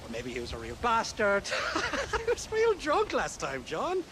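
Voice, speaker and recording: High-pitched, swooping Irish drunkard. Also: male voice, wildly swooping pitch, a little slow, fairly bright tone, a little hiss or hum, exaggerated voice, low-quality recording. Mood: vague, merry. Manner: rambling, chuckling, hesitant. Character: merry, rambling, forgetful drunken Irish rogue. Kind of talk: video game cutscene acting.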